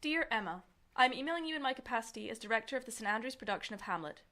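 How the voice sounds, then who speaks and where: A woman's voice: fairly high pitch, animated, very fast, sharp tone. A woman playing a cutting, fed-up young student director in an audio drama. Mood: stiff, formal. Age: young adult. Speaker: actor. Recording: very noisy background, vehicle-like ambience.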